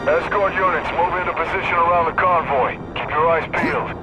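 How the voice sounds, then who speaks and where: An actor playing a businesslike, alert police officer giving brisk, matter-of-fact dispatches in a video game. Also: male voice, on the high side, highs cut off, music in the background, natural voice. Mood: alert, commanding.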